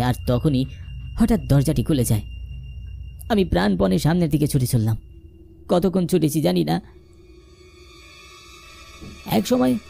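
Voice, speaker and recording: A man's voice: a little high, gravelly, warm tone. A man narrating a scripted first-person ghost story in a dramatic, suspenseful storytelling manner.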